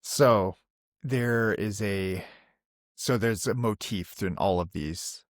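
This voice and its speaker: Dude playing a tabletop rpg, lowish voice, casual chat, really dry recording. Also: male voice, takes it slowly, no room sound. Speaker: tabletop game player.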